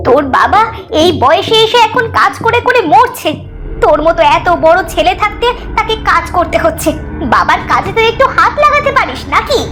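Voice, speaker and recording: Woman as a village wife and mother for a cartoon: very high voice, fretful nagging, music underneath. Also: big pitch swings, a little fast, fairly bright tone, heavily acted. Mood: scolding. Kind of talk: cartoon voice acting.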